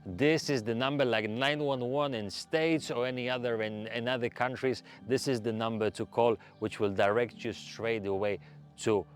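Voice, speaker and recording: A man's voice: medium pitch, fairly even intonation, normal speed. A man hosting a travel video, speaking earnestly to viewers with slightly non-native English phrasing. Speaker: host. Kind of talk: presenting travel safety advice to viewers. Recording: music behind the voice. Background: background music.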